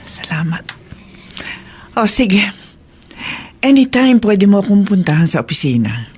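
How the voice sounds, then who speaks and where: An actress playing a calm, gracious older grandmother who offers a job, in a radio drama. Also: mid-range voice, slow pace, breathy edge, exaggerated voice. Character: generous grandmother, businesswoman.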